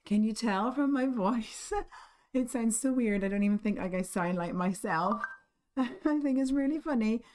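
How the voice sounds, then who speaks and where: Husky-voiced woman, hoarse. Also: fairly high pitch, normal speed, a little mellow. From United Kingdom. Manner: chatty, friendly, many ums and little laughs. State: hoarse, losing her voice. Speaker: craft stream host and shop owner. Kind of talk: live-streamed crafting chat.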